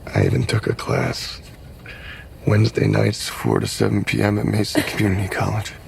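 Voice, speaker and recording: A laconic film character, a man with a low, heavily creaky voice. The clip has a faint rumble of noise behind the dialogue. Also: very animated, normal speed, exaggerated voice.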